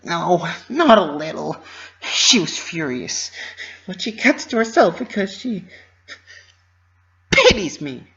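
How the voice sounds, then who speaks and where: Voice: mid-range voice, sing-song, slow pace, vocal fry, pauses often, sharp tone, dull audio, voice pushed up, heavily acted. A storybook reader voicing an anxious, self-doubting young female party pony with panicky, self-reproaching outbursts.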